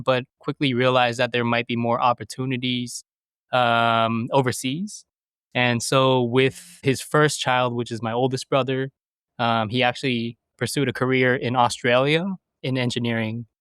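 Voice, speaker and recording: A man's voice: medium pitch, fairly bright tone, clean tone, no room sound, clean studio sound. A man in his thirties from the United States, a podcast guest answering questions thoughtfully with frequent fillers and restarts. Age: 30s. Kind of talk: conversational interview.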